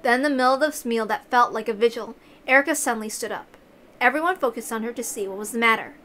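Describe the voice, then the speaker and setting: High, bright-voiced woman narrating a story. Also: quick pace, a little hiss or hum. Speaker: story reader. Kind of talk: dramatic narration of a visual novel.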